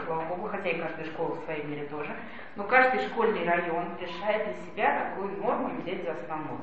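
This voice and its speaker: A woman giving a spoken lecture, explaining things and addressing her listeners directly. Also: lowish voice. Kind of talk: spoken lecture on language policy. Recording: echoey distant lo-fi hall recording with noise.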